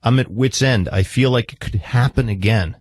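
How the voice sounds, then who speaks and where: Man counselling with persistent, measured questions. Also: medium pitch, husky, no echo, clean studio sound. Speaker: therapist counselling a guest. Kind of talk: counselling conversation.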